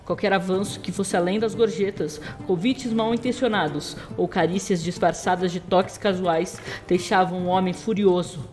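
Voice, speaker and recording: A woman's voice: lowish voice, steady tone, moderate pace, hardly any pauses, a little mellow, soft background music. A woman narrating a scripted audio drama as its storyteller.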